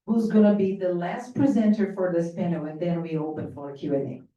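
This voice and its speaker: A woman's voice: lowish voice, steady tone, a little fast, mellow. A woman moderating a conference panel, speaking plainly and introducing the next presenter. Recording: distant, dull, echoey room recording.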